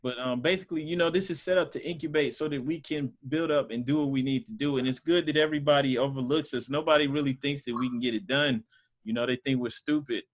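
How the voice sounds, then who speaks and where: A man's voice: medium pitch, fairly even intonation, quick pace. A man casually teaching a Sunday school group over a video call, talking loosely with lots of fillers. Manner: loose and conversational, many fillers.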